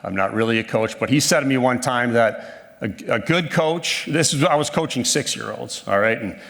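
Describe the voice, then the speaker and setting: A man's voice: mid-range voice, normal speed, gravelly, fairly bright tone. Man in his fifties speaking in a reverberant hall. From northern Minnesota, USA. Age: 50s. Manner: self-deprecating, folksy, frequent ums and restarts. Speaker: conference speaker. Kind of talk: conversational presentation.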